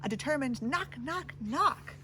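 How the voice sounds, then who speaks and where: A woman's voice: high voice, lively intonation, measured pace, sharp tone, slight background noise. A woman reading a children's picture book aloud with theatrical, dramatic emphasis. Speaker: storybook reader.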